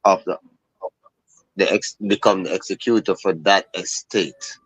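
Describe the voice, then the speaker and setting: A man on a video call asking about a trust, halting and restarting his phrases. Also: sits low, fairly even intonation, fairly bright tone, breathy edge, no echo, poor audio. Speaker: workshop participant. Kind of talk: casual video-call question.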